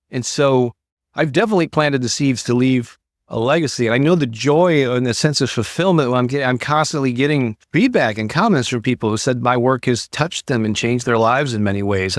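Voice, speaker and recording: Man, medium-pitched voice, motivational coach style, conversational, encouraging, dry sound. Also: no echo. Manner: encouraging, conversational, addresses listener directly. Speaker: motivational creator and host of an online show. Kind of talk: informal motivational coaching talk to an online audience.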